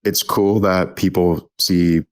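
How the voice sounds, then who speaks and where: Low, flat-voiced young man. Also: slow pace. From Texas. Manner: hesitant, many ums and restarts. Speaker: podcast guest. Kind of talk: casual interview chat.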